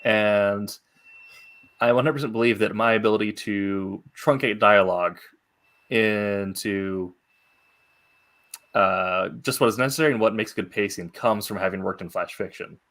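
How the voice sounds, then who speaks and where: A man hosting a casual interview about writing, speaking in a halting, thoughtful way as a fellow writer. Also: lowish voice, steady tone, a bit bright. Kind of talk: casual podcast interview chat.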